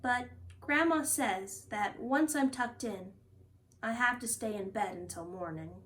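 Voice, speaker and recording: A woman hosting a storytime, reading a children's picture book aloud with expressive, deliberate phrasing. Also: on the high side. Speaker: storytime host and reader.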